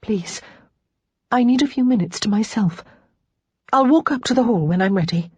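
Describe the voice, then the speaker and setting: A woman voicing a gentle, earnest young widowed lady of rank in an audiobook. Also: fairly high pitch, animated, normal speed, husky, no echo, voice pushed up. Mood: stunned, faint. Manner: gentle, grave, genteel. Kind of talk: audiobook character dialogue.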